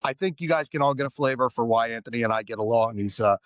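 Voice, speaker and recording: A man's voice: mid-range voice, fast, clean tone, no echo. A man hosting a podcast, chatting casually and enthusiastically with his guest, full of friendly compliments. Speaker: podcast host. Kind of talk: casual interview chat.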